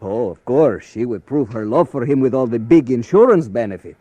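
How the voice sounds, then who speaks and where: An actor playing an anguished father with a Spanish accent, pleading formally and haltingly for his son in a radio drama. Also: mid-range voice, lively intonation, warm tone, no room sound, muffled recording, natural voice. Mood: earnest, bitter. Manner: formal, pleading, halting non-native phrasing. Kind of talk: 1950s radio drama acting.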